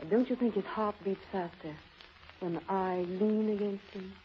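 An actress playing a sly, flirtatious, worldly former secretary with a condescending, theatrical manner in a 1930s radio drama. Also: female voice, mid-range voice, measured pace, natural voice. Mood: sultry, teasing. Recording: muffled, noisy old 1930s radio recording.

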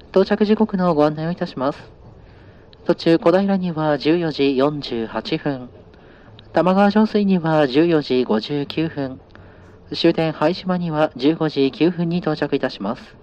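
Higher-pitched male train conductor, noisy recording. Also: darkish tone, dull audio. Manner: formal, polite, routine announcer delivery. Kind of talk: onboard train announcement. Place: aboard a train, over the PA.

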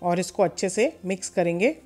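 A woman hosting a cooking channel, calmly talking viewers through a recipe step by step in a voice-over and mixing in English words. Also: on the low side, brisk, faint music behind.

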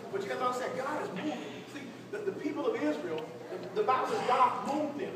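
A man's voice: very high voice, expressive, quick pace. A man speaking as a pastor leading a church workshop, preaching and teaching emphatically, repeating phrases and seeking responses from his listeners. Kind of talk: preaching-style teaching to a live group. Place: church meeting room with seated audience. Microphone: distant camera among the audience. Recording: echoey hall, distant mic, noisy room.

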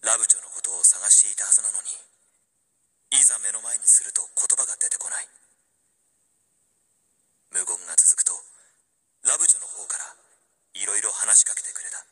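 A man playing a wistful young man pining for an old love, narrating a radio drama in a hushed, introspective way. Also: fairly low pitch, moderate pace, long pauses, breathy edge, pitched below the performer's own voice, heavily acted. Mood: yearning, earnest.

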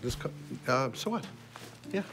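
A man's voice: mid-range voice, lively intonation, normal speed, lightly acted. An actor in his fifties playing a grouchy, exasperated older male boss. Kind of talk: TV drama acting. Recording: loud noise behind dialogue.

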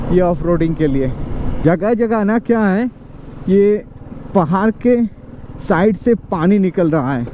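A man giving casual commentary to viewers while riding a motorcycle on a mountain road outdoors. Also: high voice. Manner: chatty, addresses viewers as brother. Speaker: motovlogger. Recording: muffled, with loud engine and wind noise.

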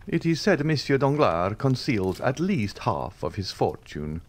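A man voicing a suave nobleman who speaks in a smooth, half-jesting, courteous tone. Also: medium pitch, sing-song, measured pace, slight background noise, pitched above the performer's own voice. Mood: courteous, ironic. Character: suave, coolly ironic older nobleman, male.

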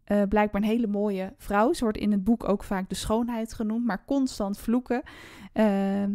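A woman's voice: medium pitch, fairly even intonation, moderate pace, dark tone, dry recording, studio quality. A woman chatting casually about books as a podcast host, in a conversational, unscripted way. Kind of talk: casual book discussion.

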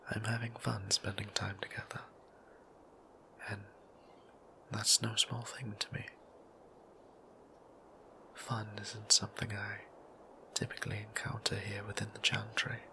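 A man voicing a gentle, formal male vampire priest in a whispered ASMR roleplay, speaking in old-fashioned, softly teasing phrasing. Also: on the low side, low-quality recording. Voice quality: soft whisper, gravelly. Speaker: voice actor.

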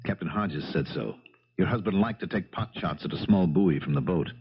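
An actor playing a shrewd, dogged police lieutenant in a 1970s radio mystery, probing and sardonic in a measured way. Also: male voice, sits low, slightly rough. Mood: firm, confident. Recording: dull, lo-fi 1970s radio broadcast.

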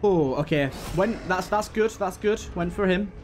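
A man with a slightly high voice hosts a let's-play in a casual style. He thinks aloud through his tactics, with music playing behind him. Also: normal speed. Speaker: gaming video host.

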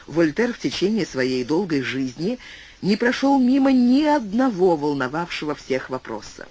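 A slightly husky male narrator reads a long essay for an audiobook. His pitch rises and falls a lot from phrase to phrase, and the even, bookish delivery sounds synthetic. He even speaks the quotation marks aloud.

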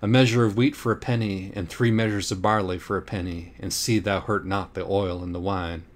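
A man's voice: deep voice, steady tone, brisk, natural voice. A man voicing a foul-mouthed, grandiose philosophizing girl in a parody pony audiobook, pompous and declamatory.

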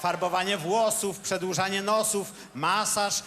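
Boastful little knight colonel, high bright voice, old radio play with roomy reverb and a bit of hiss. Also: male voice, fairly even intonation, unhurried. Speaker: radio cabaret actor. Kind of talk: satirical radio play acting.

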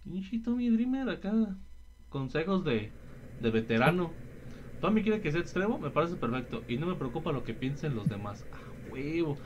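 A Mexican man hosts a gameplay video in a casual, sweary, slangy way. His pitch is on the high side and swings around a lot. The recording has clear noise behind him. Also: big pitch swings, normal speed, highs cut off. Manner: slangy and profane. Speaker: gaming video host. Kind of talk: casual gameplay commentary, reading subtitles aloud.

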